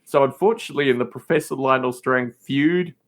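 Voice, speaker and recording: A man chatting casually as a podcast guest, rambling in an animated way. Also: medium pitch, brisk. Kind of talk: casual chat.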